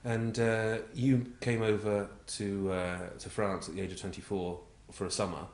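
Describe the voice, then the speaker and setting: A man's voice: on the low side, flat delivery, normal speed, a little mellow, reverberant. A man interviewing a guest, prompting him with leading questions and short affirmations. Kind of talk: conversational interview.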